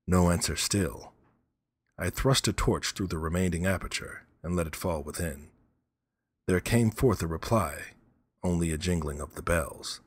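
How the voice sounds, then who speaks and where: Guy reading as a grim killer telling his crime, really low voice, dry studio sound, slow menace. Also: slightly rough, dry recording. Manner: measured, grave, menacing. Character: cold, vengeful male murderer narrating his crime. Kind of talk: dramatic gothic first-person narration.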